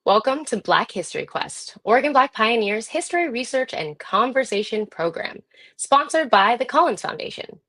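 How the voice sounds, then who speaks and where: A woman's voice: a little high, very animated, normal speed, fairly bright tone, dry recording. Woman hosting, dry close sound. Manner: addresses viewers directly, presenter-like. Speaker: host. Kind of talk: scripted-sounding live show introduction.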